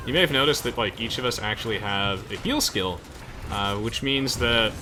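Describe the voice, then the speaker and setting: A man's voice: fairly low pitch, background noise. A young man giving casual video game commentary to friends as the host of a playthrough. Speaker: let's play host. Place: game voice chat with music behind.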